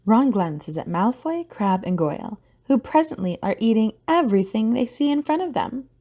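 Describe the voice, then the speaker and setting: A woman's voice: fairly high pitch, big pitch swings, normal speed, dark tone. A woman narrating an audio drama from a script as a storyteller.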